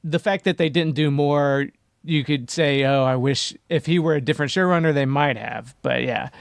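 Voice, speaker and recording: A man's voice: fairly high pitch, normal speed, creaky, dry recording, studio quality. A man co-hosting a podcast, discussing television casually and arguing his points with emphasis. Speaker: podcast co-host.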